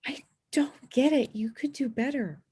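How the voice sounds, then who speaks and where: A woman's voice: high voice, a little slow, mellow, husky, full character voice. A woman playing a stubborn teenage girl snowboarder in a screenplay table read, with a dry, sarcastic manner. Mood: indignant.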